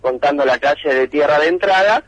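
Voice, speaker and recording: A man, speaking as a radio reporter over the phone. His voice sits slightly high for a man and is dry and close. He rambles through the details, stopping on frequent fillers. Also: fairly quick, dry recording, muffled recording. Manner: meandering, hesitant with many fillers.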